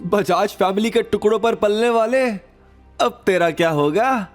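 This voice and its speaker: A man's voice: high-pitched, unhurried, faint music behind, voice pushed up. A man voicing a smug, boastful young rich suitor with a sneering manner in an audio drama. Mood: gloating, mocking.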